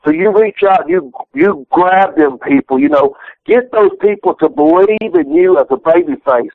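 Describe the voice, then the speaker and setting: A middle-aged Southern man spins loose, salty yarns, cursing freely and doubling back on his words. His voice sits a touch high for a man. It comes through a narrow, tinny phone line with no room sound at all. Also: moderate pace, no echo. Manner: rambling, profane, folksy, restarts a lot. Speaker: retired pro wrestler guest. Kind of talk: candid storytelling interview.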